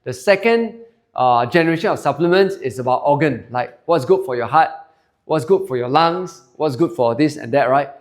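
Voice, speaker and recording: A man's voice: a little high, expressive, moderate pace. A man in his forties with a Singaporean English accent, giving a chatty sales presentation to a room of listeners and polling them with questions. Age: 40s. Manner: chatty, polls the crowd and tags lines with "okay?". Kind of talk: sales presentation to a live audience. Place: seminar room with an audience.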